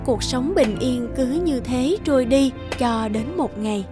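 A woman narrates a children's fairy tale in a high voice. Her scripted storytelling plays over background music. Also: unhurried.

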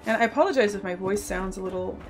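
A woman hosting a game channel, slightly hoarse from a cough, explaining a building project step by step in a friendly, casual voice-over. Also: mid-range voice, quick pace, music in the background, rough recording. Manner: friendly step-by-step explaining, occasional throat clearing. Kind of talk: casual tutorial narration over gameplay.

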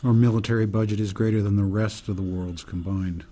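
A man's voice: lowish voice, fairly even intonation, brisk, dark tone, lightly acted. A man playing an earnest, indignant political dissenter in a radio drama, explaining things pointedly.

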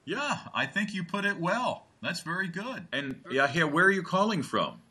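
Older host with a lively, husky voice. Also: male voice, sits high. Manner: slow, clear, encouraging questions. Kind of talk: friendly interview questions. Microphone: video call.

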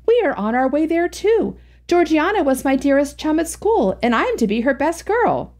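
A woman's voice: sits high, big pitch swings, moderate pace, pitched above the performer's own voice. A woman voicing a vivacious, enthusiastic young woman. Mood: delighted. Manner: enthusiastic, bright.

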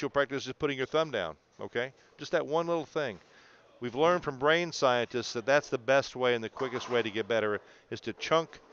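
A man's voice: medium pitch, a bit bright, dull audio, a little hiss or hum. A man coaching a student through video analysis in an encouraging, explanatory way, often checking in. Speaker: bowling coach.

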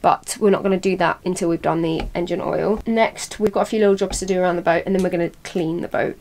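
A woman's voice: lowish voice, fairly even intonation, fast talker, darkish tone. A woman, chatting casually and informally for a vlog. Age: 20s. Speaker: vlogger living on a boat. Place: boat cabin, sometimes outdoors at a marina. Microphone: camera at arm's length. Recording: clear background noise, handheld camera.

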